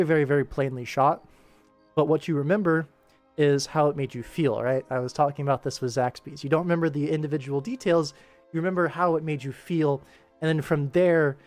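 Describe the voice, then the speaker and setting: A man's voice: on the high side, a little fast, a little mellow, soft background music. A man hosting a casual work livestream as an animation director, explaining informally and thinking aloud. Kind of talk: casual livestream commentary while working.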